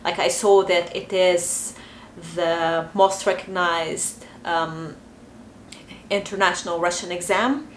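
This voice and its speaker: A woman's voice: fairly low pitch, fairly even intonation, slow pace. Brief: young woman vlogger, Bulgarian accent, hesitant and chatty, roomy echo, faint background hum. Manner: chatty, hesitant, many fillers. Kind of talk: casual talk to camera. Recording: echoey room, faint ambience.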